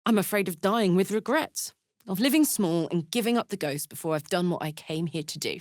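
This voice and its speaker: A woman's voice: lowish voice, expressive, fairly quick, a little mellow, dry recording, clean studio sound, lightly acted. A woman playing a brash, big-hearted warrior in a fantasy video game, speaking bluntly, earnestly and expressively. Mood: candid, reflective.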